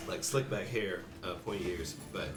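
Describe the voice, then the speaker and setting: A man narrating as game master in a relaxed, hesitant way with many fillers. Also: deep voice, distant mic. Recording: echoey room, mic from afar, music behind. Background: background music.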